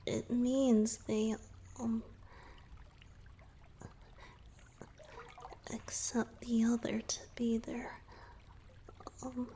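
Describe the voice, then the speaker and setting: A woman's voice: on the high side, flat delivery, slow pace, dark tone. A woman playing a shy, flustered young merman boyfriend in a romantic ASMR roleplay, speaking hesitantly and earnestly to the listener. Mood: shy, hushed. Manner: hesitant, flustered, with sighs and murmured asides. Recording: background noise with trickling water.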